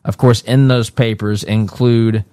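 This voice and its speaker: A man hosting a solo commentary show, reading from an article and breaking in with his own asides in a measured way, with fillers and restarts. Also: on the low side, fairly even intonation, dark tone, smooth, dry recording, clean studio sound.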